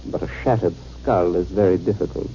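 An American actor playing a grave, authoritative surgeon in a 1950s radio drama, speaking in a terse, controlled way. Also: male voice, low-pitched, brisk, dark tone, lightly acted. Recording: muffled old 1950s radio recording, faint noise.

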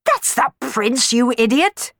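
A snobbish, greedy older baron from a storybook, acted out by a woman. He splutters, snarls and orders people about, with pitch that jumps up and swings widely. The sound is dry and close. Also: expressive, unhurried, fairly bright tone, no room sound. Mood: furious.

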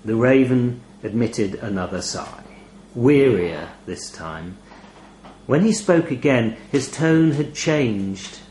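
A man narrates first-person fiction with dry wit and expressive phrasing. His middle-pitched voice moves through a very lively range, and the room adds a clear echo. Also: slow, slight background noise. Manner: wry, expressive storytelling. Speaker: short story reader, narrator.

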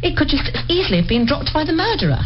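A bright young lady sleuth in a radio play, very high and animated in voice. She sets out her reasoning with confident precision. The recording is dull, with clear noise. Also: fast. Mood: assured explaining. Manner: articulate, methodical explaining.

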